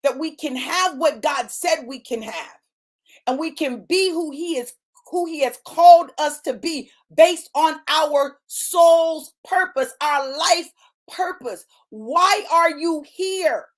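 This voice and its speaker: A woman's voice: high-pitched, takes it slowly. A woman in her late fifties delivering a motivational sermon, emphatic and repetitive, addressing listeners as y'all and baby. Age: 50s. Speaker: preacher.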